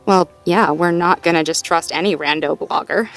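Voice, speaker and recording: A woman playing a supportive, playful girlfriend in an intimate one-sided roleplay audio, talking gently and encouragingly with light teasing and natural hesitations. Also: low-pitched, steady tone, moderate pace, creaky, faint music behind. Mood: playful, amused. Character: supportive, playful girlfriend helping partner problem-solve.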